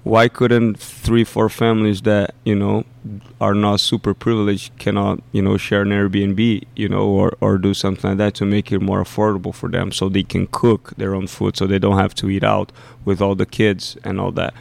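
A man's voice: on the low side, completely flat delivery, moderate pace, creaky, few pauses. A man, a youth soccer coach, talking casually on a podcast and often repeating himself as he thinks aloud.

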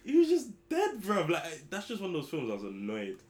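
A man, co-hosting a podcast in relaxed, slang-heavy banter. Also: high-pitched, fairly quick, a crowd in the background. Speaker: podcast co-host. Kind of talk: casual chat.

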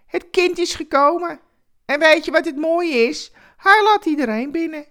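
A woman voicing a boisterous, blunt homeless man who talks loudly and eagerly in a Christmas radio story. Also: high voice, normal speed, voice pushed up. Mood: loud, earnest. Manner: loud, blunt, exuberant. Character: boisterous, enthusiastic homeless man, rough and talkative.

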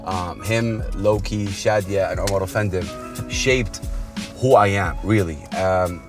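Effusive, low-voiced male show host. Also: over music. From Saudi Arabia. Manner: effusive, halting with repeated phrases. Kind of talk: in-car interview, casual chat. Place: inside a car.

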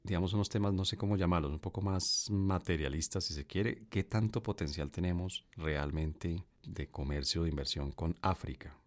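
A man moderates a discussion in a low-pitched voice. His interviewing is conversational, with tentative, hedged phrasing. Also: moderate pace. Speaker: host, moderator.

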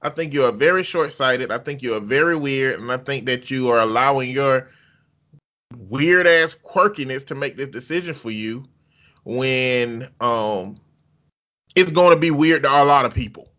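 A man's voice: medium pitch, moderate pace, bright, forceful tone. A man co-hosting a talk show, giving blunt, profane opinions. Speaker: podcast co-host. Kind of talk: casual opinionated chat.

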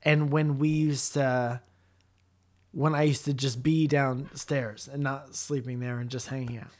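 A man's voice: mid-range voice, level tone, measured pace. A young man from Connecticut co-hosting a casual chat, joking, rambling and swearing. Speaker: podcast co-host.

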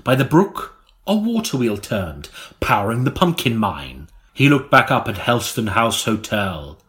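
A man's voice: medium pitch, very animated, a bit bright. Melodramatic male audiobook narrator. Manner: melodramatic, deliberate delivery. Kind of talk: mock-serious scripted gothic narration. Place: recording studio.

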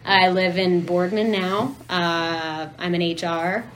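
A woman's voice: fairly low pitch, flat delivery, slow pace, a bit bright, slight background noise, rough recording. An American woman in her thirties talking casually as a guest on a podcast. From Ohio, United States. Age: 30s. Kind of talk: casual chat. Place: apartment room.

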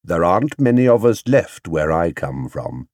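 A small, earnest young bear from a children's story, played by a man. He is courteous and quietly proud of himself. His words come in a small, lively voice, close and dry. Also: low voice, moderate pace, no room sound, pitched below the performer's own voice. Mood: proud, confiding. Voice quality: small, clear voice. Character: small, polite, self-important young male bear from Peru.

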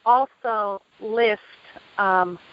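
A woman hosting a podcast, talking casually with a guest and filling pauses with ums. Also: medium pitch. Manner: easygoing, filler-heavy. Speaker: podcast host. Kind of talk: casual chat. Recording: dull, dry podcast audio.

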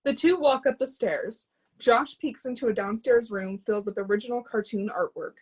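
A woman's voice: fairly high pitch, moderate pace, breathy edge, poor audio. A woman reading stage directions as scripted narration for a readers theatre drama.

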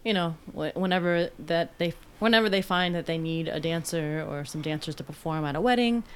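A woman who dances and teaches pilates, a guest in a casual interview, easygoing and playful with soft fillers. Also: sits low, a little fast, clean tone.

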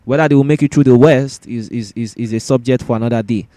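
A man's voice: mid-range voice, fast, mellow, no echo. A man hosting a casual sports discussion podcast, often repeating words and phrases for emphasis.

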